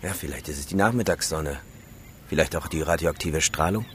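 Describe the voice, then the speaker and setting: A man's voice: very deep voice, a little fast, slight background noise. A man playing a dry, watchful photographer in a radio drama, speaking in terse but polite lines. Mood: wary, wry.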